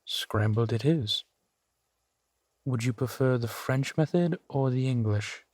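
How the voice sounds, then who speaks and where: A man's voice: mid-range voice, takes it slowly, darkish tone, no room sound, natural voice. A man voicing a suave, genteel vampire centuries old, speaking unhurriedly and formally to the listener in an intimate audio roleplay. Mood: amused, charming. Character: suave male vampire, four centuries old, genteel, playfully amused host.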